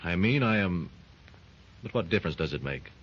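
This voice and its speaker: An actor playing a stern, commanding jungle hero in a 1930s radio drama, terse in manner. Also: male voice, low-pitched, animated, moderate pace, a bit bright, husky, full character voice. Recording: dull lo-fi 1930s radio recording with faint hiss.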